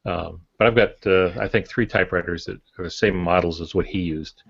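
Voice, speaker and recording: A man's voice: low-pitched, fairly even intonation, brisk, gravelly, slightly rough. An older man chatting casually as a podcast co-host, on a dull lo-fi recording. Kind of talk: casual chat.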